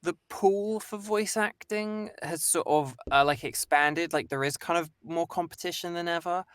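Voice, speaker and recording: A man's voice: a little high, normal speed, a bit bright, smooth, no echo, clean studio sound. A man voice actor, a guest on a stream, speaking thoughtfully and hedging with many "kind of"s and "sort of"s. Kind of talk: casual conversation.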